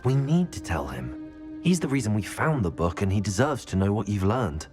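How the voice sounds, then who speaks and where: A man playing a calm, sympathetic, fair-minded student wizard in a video game, measured and considerate. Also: fairly low pitch, music in the background. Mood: concerned. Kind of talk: video game cutscene acting.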